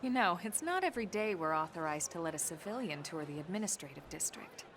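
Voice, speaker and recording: A woman playing a serious, composed military officer in a video game, explaining things in a measured, matter-of-fact way. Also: fairly low pitch, expressive, fairly bright tone, a little hiss or hum. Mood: explanatory. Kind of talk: English video game cutscene acting.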